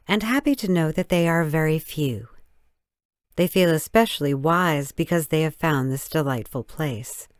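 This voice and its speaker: A woman reading a story as scripted narration, in measured, deliberate, careful phrases. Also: low-pitched, darkish tone, no room sound, studio quality. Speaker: audiobook narrator.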